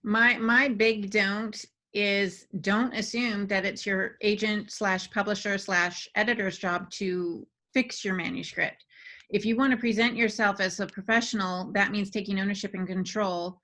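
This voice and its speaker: A woman's voice: mid-range voice, steady tone, a little slow, bright, forceful tone. A woman speaking as a podcast panelist, giving conversational advice to writers.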